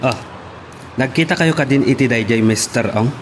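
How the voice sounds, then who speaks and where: A man's voice: mid-range voice, moderate pace, close to an everyday voice. A man with an Ilocano accent acting the troubled son of a crime boss in a radio drama. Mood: sociable. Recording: clear background noise.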